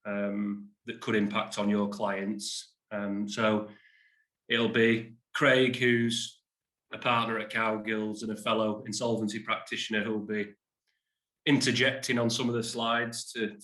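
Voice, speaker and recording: Man, business webinar presenter, low flat voice, hesitant, echoey room. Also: slow pace. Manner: self-deprecating, hesitant with fillers. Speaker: insolvency practitioner presenting a webinar.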